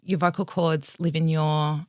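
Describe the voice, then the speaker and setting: A woman's voice: sits low, little pitch movement, a little slow, darkish tone, no room sound, clean studio sound. A woman vocal coach with an Australian accent, explaining vocal health in a frank, teacherly way and swearing casually.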